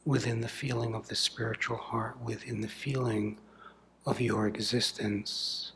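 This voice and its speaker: A man speaks very slowly in a medium-pitched voice, as a spiritual teacher guiding a meditation. His phrases are short and deliberate, with long silences between them. The delivery is soft and breathy, often fading toward a whisper, over faint background noise. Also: little pitch movement. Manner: slow, deliberate, measured phrases with long pauses. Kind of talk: guided meditation, contemplative satsang talk.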